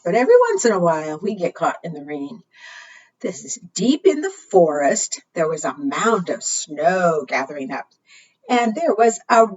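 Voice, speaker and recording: Lady doing kids storytime, husky lowish voice, kinda muffled and far from the mic. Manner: asks the young listeners frequent simple questions; expressive, deliberate teacherly delivery. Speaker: children's librarian hosting preschool storytime. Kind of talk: read-aloud storytelling for young children. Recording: muffled, distant microphone.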